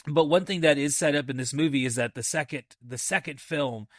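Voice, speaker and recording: A man's voice: fairly high pitch, dry recording. Rambling man reviewing a film, dry close sound. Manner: rambling, halting, thinking aloud. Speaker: movie review podcast host. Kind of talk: casual solo film review.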